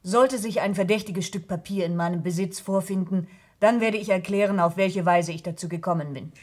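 Woman acting a guarded, husky-voiced young lady. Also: lowish voice, a little fast, a little mellow, lightly acted. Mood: defiant. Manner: terse, evasive, formal. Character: guarded, proud young niece under questioning. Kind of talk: vintage German radio crime drama acting.

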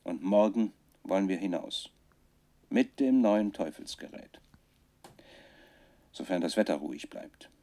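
A man's voice: low voice, expressive, measured pace, long pauses, warm tone. An actor playing an anxious male researcher who solemnly dictates a haunted diary onto cassette for a radio drama. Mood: solemn, foreboding. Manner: solemn, dated journal entries, breaking off.

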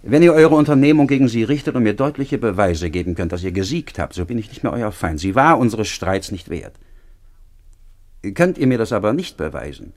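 An actor playing a proud, honourable lover in a classical German radio play, speaking in a formal, earnest manner. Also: male voice, fairly low pitch, natural voice. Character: proud honourable male lover, trusting and quick to defend honour.

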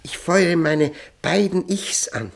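An actor playing an obsessed, thirst-tormented prisoner who is losing his mind, in an intense, feverish radio drama performance with halting repetitions. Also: on the high side, lively intonation, vocal fry, full character voice. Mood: feverish, driven. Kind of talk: German radio drama monologue and dialogue.

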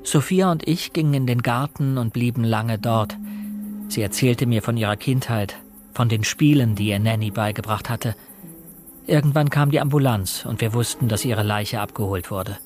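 An actor playing a reflective young man, narrating a radio crime drama in a measured, earnest way. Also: mid-range voice, darkish tone, soft background music, lightly acted. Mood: sombre. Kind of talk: scripted first-person radio drama narration.

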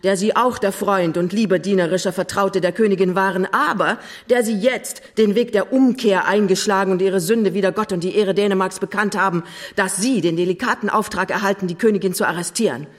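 An actress as a vengeful dowager queen in a radio drama. She is cold-blooded and imperious, weighing out her threats with deliberate menace at a middling pitch. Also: lightly acted. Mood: commanding, menacing. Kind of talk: German radio play acting.

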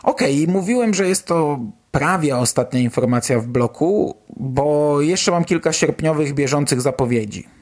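A man's voice: on the high side, expressive, normal speed, few pauses, darkish tone. A man presenting news on a podcast in a colloquial, enthusiastic way, as its host. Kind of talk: casual news commentary on a podcast.

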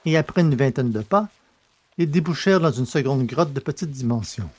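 A man's voice: medium pitch, lively intonation, brisk, a little mellow. Male narrator reading literary science fiction. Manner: literary, carefully phrased read speech. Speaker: podcast host and audiobook narrator.

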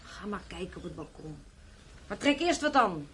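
A woman's voice: medium pitch, big pitch swings, moderate pace, a bit bright. A tired wife and mother in a radio drama. She scolds and nags, dismissive and full of doubt. The old recording carries faint noise behind her. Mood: weary, grumbling. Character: tired, nagging, sceptical wife and young mother. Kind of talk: naturalistic Dutch radio play acting.

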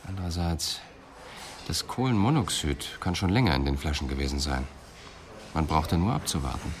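A man's voice: rumbling low, lively intonation, normal speed, slight background noise. A man playing a pensive, dryly ironic police detective in a radio crime drama, speaking in a thoughtful, clipped way. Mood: probing, pensive.

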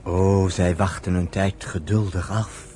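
A man narrating a radio play as an expressive, deliberate storyteller. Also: low-pitched, slight background noise.

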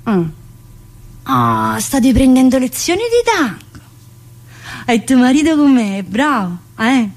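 A woman's voice: sits high, big pitch swings, slow, pauses often, full character voice. A woman playing a cheeky, needling female prison inmate in a colloquial theatre monologue, with a southern Italian accent and a teasing, familiar manner. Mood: teasing, cajoling. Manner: teasing, familiar, full of rhetorical questions. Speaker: stage actress performing a monologue. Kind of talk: theatrical monologue in colloquial dialect-tinged speech.

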